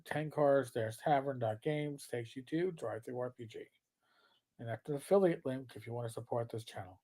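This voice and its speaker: A man's voice: medium pitch, moderate pace. A man with a New York accent hosting a live stream, chatting casually and rambling through his plugs. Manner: chatty, rambling, gets loud and brash when joking. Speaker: livestream host.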